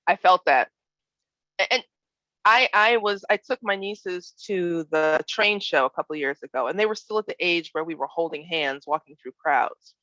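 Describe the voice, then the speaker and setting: A woman guest preacher giving an expressive, reflective sermon that mixes personal storytelling with emphasized phrases and questions to her listeners. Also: lowish voice, normal speed, no room sound. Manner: expressive, acts out imagined speech and emphasizes key words. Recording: dull, lo-fi online worship audio.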